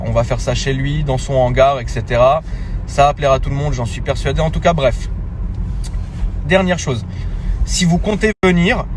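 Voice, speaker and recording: A man chatting casually into his phone from a car, relaxed and slangy, with lots of fillers and restarts. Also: fairly high pitch, slight background noise. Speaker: social media creator. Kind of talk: casual phone story announcement.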